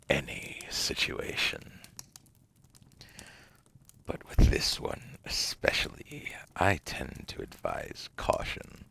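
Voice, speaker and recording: A man playing a seductive, cunning devil in an ASMR roleplay, whispering breathily and gravelly in a theatrical, teasing way. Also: rumbling low, bright, forceful tone, heavily acted. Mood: sly, cautioning. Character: seductive, cunning otherworldly male devil offering tempting deals.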